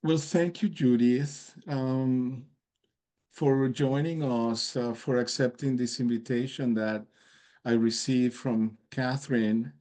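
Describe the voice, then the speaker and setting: Mellow man. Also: mid-range voice. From Colombia. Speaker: participant in a group video meeting. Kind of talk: casual chat. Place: video call.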